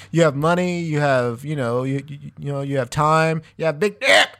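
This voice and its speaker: A man's voice: fairly high pitch, moderate pace, fairly bright tone. A man in his thirties co-hosting a podcast, laid-back and profane, saying "dude" often. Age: 30s. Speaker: podcast co-host. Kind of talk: crude casual banter.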